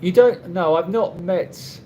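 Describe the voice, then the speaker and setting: A man rambles through viewers' questions on a live stream, his voice sitting slightly high for a man. He trips over his own phrases and restarts often, with a dry, wry humor. A faint hum of background noise runs under the recording. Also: warm tone, low-quality recording. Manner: rambling, halting, with many restarts and fillers. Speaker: vlogger answering live chat questions. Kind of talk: casual live-stream chat. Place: condo room.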